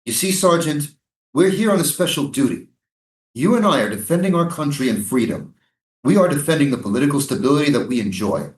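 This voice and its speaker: A man's voice: on the high side, expressive, brisk, lightly acted. Man acting a menacing military commander, echoey room. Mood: condescending. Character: menacing, insinuating American military commander at a prison camp. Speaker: actor in a staged play reading. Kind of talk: live staged reading of a stage drama. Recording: echoey room, live stage reading.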